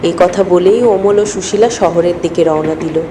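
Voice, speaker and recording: A woman's voice: on the low side, normal speed, dark tone, a little hiss or hum. A woman narrating a scripted moral story in an earnest, dramatic storytelling manner. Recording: long hall-like reverb.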